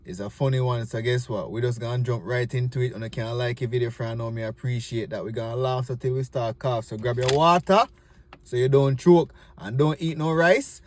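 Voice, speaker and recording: A man's voice: medium pitch, brisk. A man with a Jamaican accent hosting a comedy video in a casual, chatty style. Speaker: host of a comedy clip show. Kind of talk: casual video intro and reaction.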